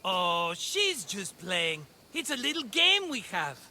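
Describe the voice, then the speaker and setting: An actor playing a glib, cheerful small-time hustler in a video game cutscene, wheedling and theatrical. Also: male voice, high-pitched, wildly swooping pitch, takes it slowly, sharp tone, slight background noise, exaggerated voice. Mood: gushing, glib.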